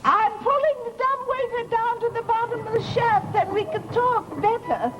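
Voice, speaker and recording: A woman's voice: extremely high pitch, normal speed, fairly bright tone, slight background noise, low-quality recording. An actress playing a nosy, aggrieved widowed landlady with an American accent in an old-time radio horror drama, talking in a garrulous, dramatic way. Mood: calling out.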